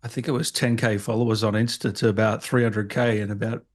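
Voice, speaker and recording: A man's voice: lowish voice, flat delivery, quick pace. A man with an Australian accent hosting an interview in an informal, matey, joking way. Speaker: interview host. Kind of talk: casual chat.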